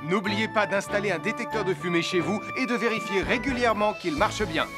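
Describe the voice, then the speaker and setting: A man acts as a friendly male firefighter hero from a children's cartoon. His voice is high for a man, and he instructs clearly and reassuringly over prominent music. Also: fairly quick, natural voice. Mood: instructive, reassuring. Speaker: firefighter giving safety advice. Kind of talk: children's cartoon safety presentation. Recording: music behind the voice.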